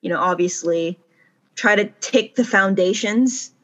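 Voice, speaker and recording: A woman's voice: mid-range voice, measured pace, fairly bright tone. A woman co-hosting a podcast, talking casually in a rambling, self-correcting way and laughing easily. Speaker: podcast co-host. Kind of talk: casual chat. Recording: dull lo-fi recording.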